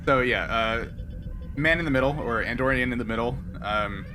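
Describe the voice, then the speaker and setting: A man's voice: medium pitch, normal speed, over music. A man acting as game master, casually narrating and working out rules aloud in a hesitant way.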